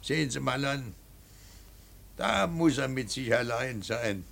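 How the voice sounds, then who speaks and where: An actor playing a stern, devout older painter and father in a radio play, halting and brooding, then preaching with emphasis. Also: mid-range voice, lively intonation, a bit bright, exaggerated voice. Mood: fervent brooding. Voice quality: gravelly, husky. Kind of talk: German radio play acting.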